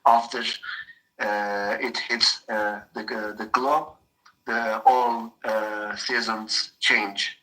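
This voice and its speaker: A young man from Turkey with a Turkish accent, chatting in English as a learner, pausing often with "uh" while he searches for words. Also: lowish voice, steady tone, bright, forceful tone, low-quality recording. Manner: halting, searching for words, frequent uh. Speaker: mechanical engineer practicing English. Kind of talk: casual conversation practice.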